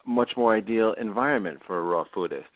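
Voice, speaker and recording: A male interviewer poses curious follow-up questions in a lowish voice. The sound is dry and narrow, like a phone call. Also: a little fast, dry recording.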